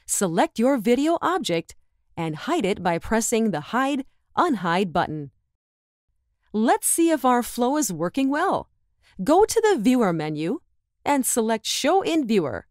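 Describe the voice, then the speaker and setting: Lively-pitched woman narrating a software tutorial. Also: unhurried, dry recording, studio quality. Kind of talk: scripted step-by-step narration. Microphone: close voice-over microphone, dry.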